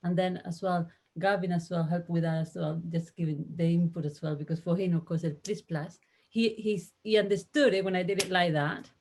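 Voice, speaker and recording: A woman's voice: fairly low pitch, steady tone, brisk, a little mellow. A woman with a Spanish accent, a translator talking casually in a discussion and acting out reactions as she goes.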